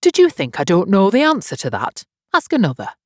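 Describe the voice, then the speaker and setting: A man voicing a pompous, touchy egg creature from a storybook, haughty and blustering, with halting stammers. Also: fairly high pitch, big pitch swings, fairly bright tone, no echo, clean studio sound, pitched above the performer's own voice, full character voice. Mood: blustering, scornful. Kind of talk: storybook character reading.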